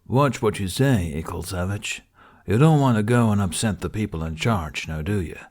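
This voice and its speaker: A man's voice: on the low side, expressive, moderate pace, a little mellow. Man doing a taunting Viking thug. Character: menacing, mocking Viking thug guard.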